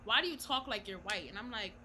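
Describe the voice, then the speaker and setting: A young woman co-hosting a podcast, with a high-pitched voice, talking frankly and laughing often between restarts. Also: expressive, quick pace. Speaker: podcast co-host. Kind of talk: casual chat. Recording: virtual call, faint background noise.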